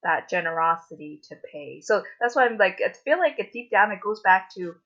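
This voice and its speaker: A woman's voice: mid-range voice, expressive, brisk, a bit bright. A woman speaking as a guest in a casual podcast discussion, earnest and reflective, with many fillers. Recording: dull lo-fi sound.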